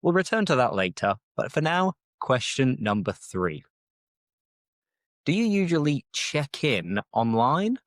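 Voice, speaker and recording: A man's voice: fairly low pitch, fairly bright tone, dry recording, clean studio sound. A British man hosting an English-learning podcast, chatting casually off the top of his head and explaining phrases as he goes. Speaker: English teacher and podcast host. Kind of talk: casual unscripted teaching monologue.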